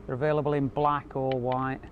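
A man's voice: medium pitch, level tone, a little fast, phone-quality sound. A man giving a casual, explanatory product walkthrough as a car audio installer. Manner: informal, explanatory. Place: outdoor car park and inside car. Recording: clear ambient noise behind handheld camera.

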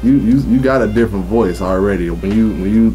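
A man's voice: on the low side, brisk, mellow, soft background music, low-quality recording. A man in his thirties hosting an interview in a studio, asking questions in a hesitant, stammering way with many fillers. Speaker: interview host. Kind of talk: casual interview. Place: small recording studio room.

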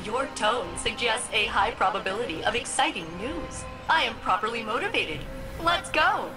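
A woman's voice: fairly high pitch, expressive, moderate pace, lightly acted, low-quality recording. An actress voicing a quirky, cheerful female ship AI in a video game, with a chirpy, playful delivery. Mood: upbeat. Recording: game audio with loud music.

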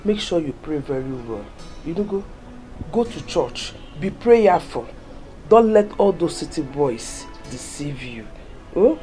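A woman's voice: fairly high pitch, big pitch swings, normal speed, dark tone, soft background music. An actress playing a doting, pious older village mother in a film drama, emotional and repetitive, with a Nigerian Igbo accent. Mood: tender advice. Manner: emphatic, repetitive.

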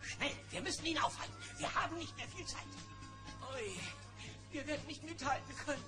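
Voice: sits high, lively intonation, moderate pace, over music. A performer playing a fussy, fretful old male bear shrunk tiny in a German children's audio play, hesitant and worried.

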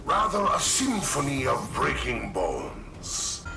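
An actor voicing a hulking, menacing, arrogant masked villain in a video game, making grandiose threats. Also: male voice, medium pitch, huge pitch swings, gravelly, full character voice. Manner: slow, menacing, grandiose declarations. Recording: loud music behind, echoing sound.

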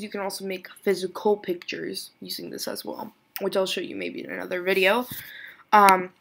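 Chatty giggly young woman, gravelly voice. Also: a bit bright, slight background noise. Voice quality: voice lower than usual today. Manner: chatty, giggly, self-deprecating, thinks aloud. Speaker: tutorial creator. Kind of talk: casual screen-recorded software tutorial.

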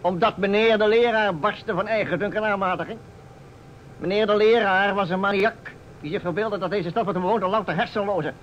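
An actor playing a pompous, blustering older small-town mayor, easily indignant, in a Dutch radio drama. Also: male voice, sits high, steady tone, a little fast, fairly bright tone. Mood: aggrieved. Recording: muffled vintage radio recording with noise.

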